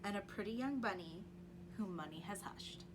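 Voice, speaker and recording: A woman's voice: lively intonation, moderate pace. A woman reading a picture book aloud in a bedtime read-along style. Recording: noisy room with rumble.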